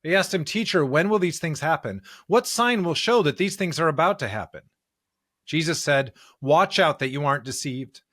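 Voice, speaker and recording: A man's voice: on the high side, animated, normal speed, a bit bright, no room sound, clean studio sound. An American man co-hosting a church podcast, chatting casually and reading scripture. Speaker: podcast co-host and pastor.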